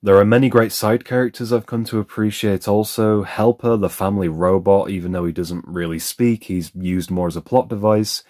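A man with a notably low voice talks through an unboxing in a relaxed, conversational voice-over. He is candid and opinionated, weighing pros and cons as he goes. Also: moderate pace, hardly any pauses. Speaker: reviewer.

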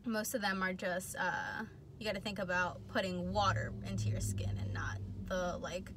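A woman's voice: lowish voice, fairly even intonation, moderate pace. Rambling young woman, faint hiss, in a car. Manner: rambling, filler-heavy. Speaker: co-host. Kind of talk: casual chat. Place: parked car. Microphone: phone camera on dashboard.

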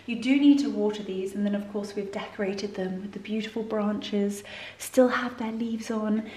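A woman's voice: medium pitch, steady tone, moderate pace, warm tone. A young woman presenting a house tour vlog in an effusive, enthusiastic manner. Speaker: lifestyle vlogger. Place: large rooms inside a house. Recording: roomy, distant camera mic, noisy.

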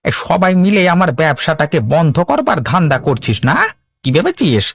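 A man's voice: a little high, big pitch swings, normal speed, vocal fry, slightly rough, full character voice. A man playing a hot-tempered, jealous fish trader in a cartoon, scornful and domineering. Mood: angry. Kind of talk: Bengali cartoon dub.

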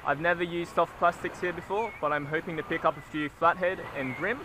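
A young man narrating his beach fishing vlog in a steady, instructional way, recorded outdoors on an action camera held at arm's length. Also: a little high, fairly quick, noisy background. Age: young adult. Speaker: fishing vlogger. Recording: music playing under the voice. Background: music.

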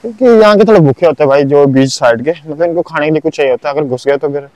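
A man's voice: fairly high pitch, rapid-fire, darkish tone, lo-fi sound, slight background noise. A young man narrating a casual walking tour of a beach at sunrise, chatty, with lots of filler words. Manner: chatty, keeps saying "bhai" and "matlab". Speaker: vlogger.

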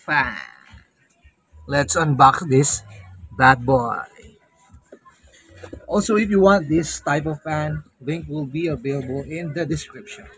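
A man's voice: a little high, a little hiss or hum, rough recording. A man in his thirties with a Filipino accent, giving a casual, playful product review for a vlog into a phone camera. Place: open-air beach hut at night, later inside a tent.

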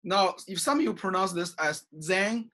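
A man's voice: high voice, lively intonation, moderate pace, pushed and bright. A middle-aged man from China with a Chinese accent, a college professor recording an online class lecture in his office over a webcam, tagging his sentences with "okay?" and many "uh" fillers. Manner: frequent "okay?" and "uh" fillers, gesturing while talking. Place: small office with bookshelves. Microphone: webcam video call at desk.

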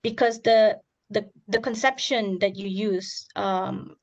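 A hoarse woman on a video call, asking an academic presenter several careful questions with many pauses. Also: mid-range voice, fairly even intonation. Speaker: audience member asking questions.

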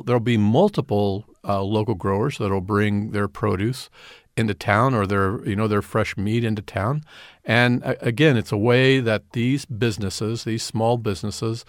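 A man's voice: lowish voice, fairly even intonation, normal speed, no echo, clean studio sound. A middle-aged man answering radio interview questions earnestly, often repeating and restarting words. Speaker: community development director, guest.

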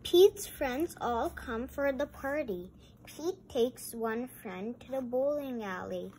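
A woman reading a picture book aloud and adding chatty, exclamatory comments in a halting way. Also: fairly high pitch, lively intonation, mellow. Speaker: read-aloud host. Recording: noisy amateur home recording.

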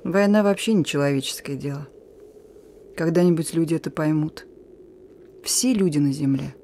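A woman's voice: low-pitched, normal speed, mellow, slight background noise. An actress playing a young, steadfast and thoughtful wartime partisan radio operator in a radio play, her voice often dropping toward a whisper. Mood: earnest, hopeful.

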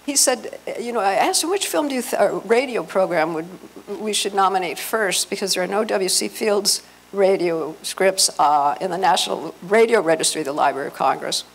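A middle-aged American woman giving an informal, digressive talk as a presenter, halting as she goes. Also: medium pitch, gravelly, husky. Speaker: presenter at a convention. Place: hotel meeting room with seated audience. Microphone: handheld microphone. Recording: noisy hotel meeting room.

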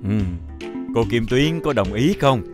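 A man's voice: medium pitch, lively intonation, unhurried, natural voice. A man voicing a stern but forgiving older male schoolteacher in a children's cartoon, with theatrical sighs. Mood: resigned. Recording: music behind.

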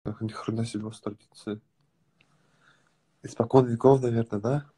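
Young Dagestani-accented man, husky mellow tone. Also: medium pitch, normal speed. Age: 20s. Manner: relaxed, teasing, mumbles at times. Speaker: livestream host. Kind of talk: casual livestream chat with video callers. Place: small room at home, on a sofa, indoors. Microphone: phone front camera held close.

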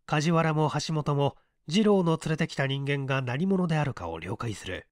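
A man reading a literary story as dramatic narration in a measured, deliberate way. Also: on the high side, expressive, no echo, studio quality. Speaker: story reader.

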